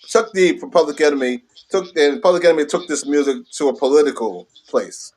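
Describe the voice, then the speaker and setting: A middle-aged American man with a voice a little higher than most men's. He talks casually as a guest, full of slang. He repeats phrases for emphasis and drives his points home. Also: a little fast, a little mellow. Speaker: guest, veteran hip hop DJ and host. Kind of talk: casual chat.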